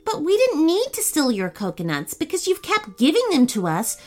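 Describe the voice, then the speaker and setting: Woman voicing a sweet high little pickle. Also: huge pitch swings, moderate pace, soft background music. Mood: reassuring. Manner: gentle, polite, wondering. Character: kind curious female pickle, small.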